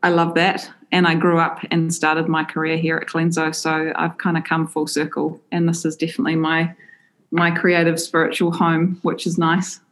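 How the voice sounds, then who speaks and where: A New Zealand woman with a notably low voice answers interview questions in a relaxed, chatty way. She sounds enthusiastic and fills her pauses with "um"s. Her answers come across as loose and unscripted. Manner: enthusiastic, frequent "um" fillers. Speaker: interview guest, creative director.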